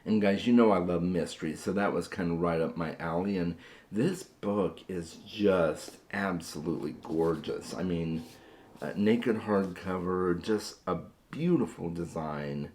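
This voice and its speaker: A hoarse man hosting a book vlog, chatting casually to camera and often repeating words as he starts sentences. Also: sits low, measured pace, darkish tone, a little hiss or hum. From Phoenix area, USA. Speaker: book vlogger.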